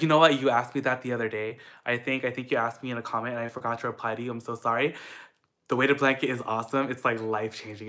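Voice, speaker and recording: A man's voice: mid-range voice, bright, forceful tone. A young man from the Philippines chatting casually at home, answering viewer questions in an upbeat way with lots of fillers. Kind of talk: casual Q&A chat.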